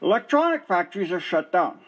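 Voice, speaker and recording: A man's voice: high voice, sing-song, a little fast, crowd noise. A man playing a frustrated middle-aged injured worker who calls one office after another and insists on his case. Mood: exasperated. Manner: exasperated, insistent. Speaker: caller. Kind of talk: amateur radio play acting.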